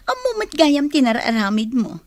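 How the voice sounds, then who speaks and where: A flirtatious schemer in a radio drama. Her fairly high voice is very animated, coquettish and prying. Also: no room sound, exaggerated voice.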